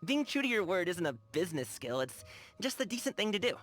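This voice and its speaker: A man's voice: high-pitched, sing-song, quick pace, a bit bright, faint music behind. An actor playing a cheerful, earnest young man in a video game, chatting in a casual, slangy way. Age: young adult. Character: cheerful, earnest young male lion-dancer and tea lover. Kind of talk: animated video game character dialogue.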